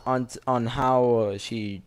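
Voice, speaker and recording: A man's voice: mid-range voice, fairly even intonation, slow. A man giving casual live reactions and commentary as he plays a video game.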